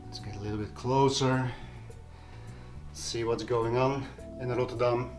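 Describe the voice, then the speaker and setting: A man's voice: mid-range voice, steady tone, measured pace. Guy doing a casual home vlog, explains stuff conversationally, bit of music in the back. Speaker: audio vlogger. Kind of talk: casual vlog talk to viewers. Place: small room at home. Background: music playing.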